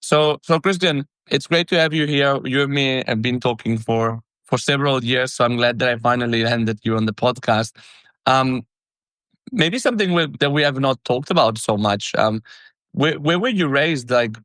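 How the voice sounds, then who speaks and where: A male podcast host keeps the talk casual and friendly, his phrases tumbling and restarting. His voice sits at an ordinary middle pitch in a dry, close recording. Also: moderate pace, fairly bright tone, dry recording, low-quality recording. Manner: chatty, friendly, stumbling restarts.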